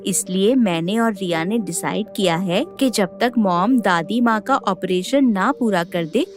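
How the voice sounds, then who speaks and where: A woman's voice: mid-range voice, unhurried, soft background music, close to an everyday voice. A woman playing a clever, polite and guarded little boy in a Hindi audio drama. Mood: earnest, insistent.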